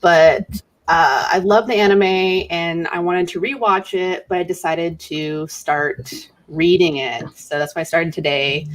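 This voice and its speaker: A woman's voice: fairly low pitch, measured pace. A woman co-hosting a livestream, chatting casually with the others. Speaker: co-host of a reading livestream.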